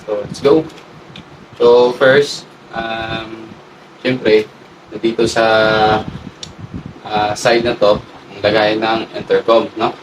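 A man with a Filipino accent giving a casual hands-on demo, haltingly thinking aloud as he works. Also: lowish voice, little pitch movement. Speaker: motovlog host. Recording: distant mic with clear background noise.

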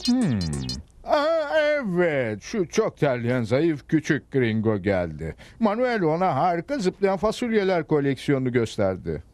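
Bir adam, çizgi film dublajında heyecanlı, konuşkan bir Meksikalı çavuşu seslendiriyor. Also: male voice, fairly high pitch, huge pitch swings, a little slow, a little mellow, faint music behind. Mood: chatty. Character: excitable, chatty Mexican village sergeant. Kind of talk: cartoon dub acting.

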